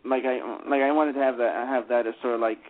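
A man's voice: mid-range voice, fairly even intonation, fast. A man talking about how he adapted a play, rambling with many restarts and fillers. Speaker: playwright discussing his adaptation. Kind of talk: casual radio discussion. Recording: phone-line radio call.

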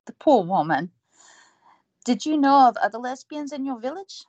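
Actress as starstruck young interviewer, babbling apologies, dry close sound. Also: female voice, sits high, expressive, normal speed, darkish tone, no room sound, muffled recording. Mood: flustered. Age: young adult.

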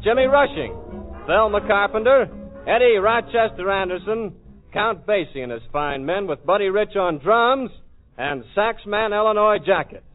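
A man's voice: high-pitched, lively intonation, moderate pace, phone-quality sound, faint music behind. A man announcing a 1940s American radio show with hip, jive-flavored showmanship. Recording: thin vintage 1940s radio recording.

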